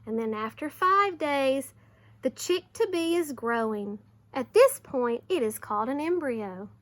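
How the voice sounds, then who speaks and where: A woman teacher reading aloud to young children, expressive, with simple explanations along the way. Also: sits high, measured pace.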